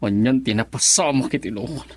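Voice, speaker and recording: A man's voice: medium pitch, a little fast, close to an everyday voice. An actor playing a menacing, controlling man in a radio drama, with a commanding, taunting manner. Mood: agitated, scheming.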